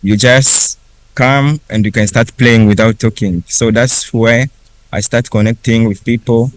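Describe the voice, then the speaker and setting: Young guy, kiswahili is his first language, talks real slow with lots of pauses, dry close sound. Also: medium pitch, fairly even intonation, no echo, poor audio. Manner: unhurried, with frequent restarts and pauses. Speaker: refugee speaker at a conference. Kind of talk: personal story told slowly.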